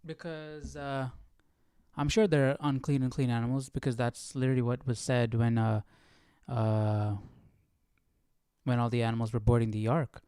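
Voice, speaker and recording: A man's voice: mid-range voice, flat delivery, normal speed, pauses often, smooth, dry recording, clean studio sound. A man casually hosting a live Bible study, reading and musing aloud with informal, questioning commentary. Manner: informal, questioning, thinking out loud.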